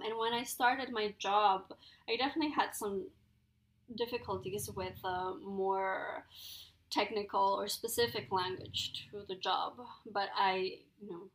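A woman's voice: medium pitch, vocal fry, rough recording. A young woman from Ecuador, a guest in a casual interview, talking thoughtfully with lots of ums and likes. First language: Spanish. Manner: thoughtful, many fillers like "um" and "like".

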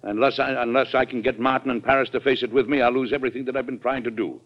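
An actor playing an earnest, fatherly American president in a vintage radio drama, measured and persuasive, with an American accent. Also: medium pitch, steady tone, lightly acted. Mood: resolute. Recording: muffled vintage radio recording.

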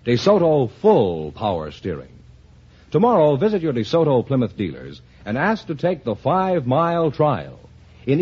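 A man's voice: medium pitch, husky. An American man reading a 1950s radio advertisement with polished, emphatic salesmanship. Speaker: commercial announcer. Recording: muffled vintage radio recording.

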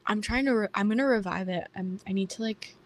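A young woman with a soft voice, hosting a casual podcast chat in a shy, hesitant way that often trails off. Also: medium pitch, fast talker. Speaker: podcast host.

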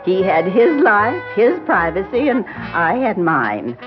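A woman's voice: medium pitch, wildly swooping pitch, slow. Prim elderly grandmother, swooping delivery. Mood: defensive. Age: older. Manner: prim, hesitant, evasive. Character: genteel, defensive elderly grieving grandmother. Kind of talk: classic radio drama acting. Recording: dull vintage radio recording with music.

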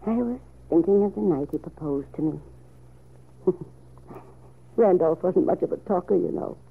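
Older aunt, husky, gravelly, wistful, tender, measured, vintage radio soap. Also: female voice, fairly low pitch, lively intonation, heavily acted. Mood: tender, nostalgic. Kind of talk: old-time radio soap opera acting. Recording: narrow, tinny old radio recording.

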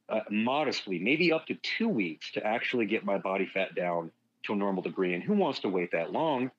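A man's voice: mid-range voice, a bit bright, lo-fi sound, slight background noise. A man talking as a fitness guest on a casual podcast, frank and rambling, swearing freely.

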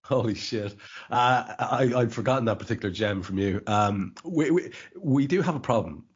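A man's voice: medium pitch, normal speed, slightly rough, muffled recording. A man hosting a casual podcast chat, stammering and repeating words as he talks. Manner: stammering, repeats words, laughs while talking. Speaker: podcast host.

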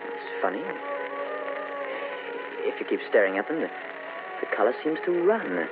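An actor playing a curious, placating, slightly uneasy husband in an old time radio horror drama, on a noisy, tinny vintage recording. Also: male voice, lowish voice, big pitch swings, normal speed, over loud music. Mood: puzzled, uneasy.

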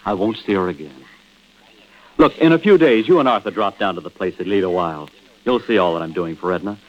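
An American actor playing an evasive, nervous husband in a 1940s-style radio drama, hesitant and placating in manner. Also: male voice, sits low, expressive, a little fast. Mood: pleading. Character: evasive, nervous husband caught cheating on his wife. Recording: muffled old radio drama recording.

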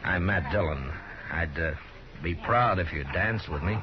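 Very low male voice, calm Western lawman, dry, unhurried, authoritative, muffled old radio recording, crowd behind. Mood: firm, commanding. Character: calm, commanding frontier town marshal, grown man. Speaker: lead actor. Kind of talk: 1950s radio Western acting.

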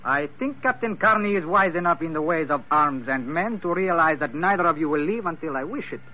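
An actor playing a proud, lovesick crime boss with silky formal politeness and menace, in 1940s radio drama style. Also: male voice, a little high, lively intonation, brisk, lightly acted. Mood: cold command. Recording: thin, tinny vintage radio recording.

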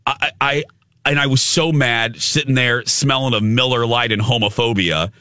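A male radio host telling a story in casual chat, with a loud, big voice and a habit of cussing. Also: medium pitch, normal speed, no room sound, clean studio sound. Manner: swears casually.

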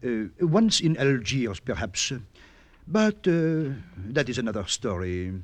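An actor playing an ardent, preening young French suitor with a French accent, theatrical and flowery in a radio drama. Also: male voice, medium pitch, very animated, slow, no echo. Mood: boastful, wistful. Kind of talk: 1950s radio drama acting.

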